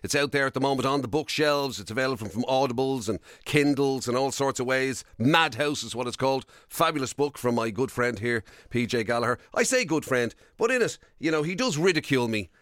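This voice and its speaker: A man's voice: medium pitch, animated, fairly quick, few pauses, a bit bright, dry recording, studio quality. An Irish man co-hosting a radio podcast in casual banter, chatty and wordy, with a teasing edge. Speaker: radio show co-host.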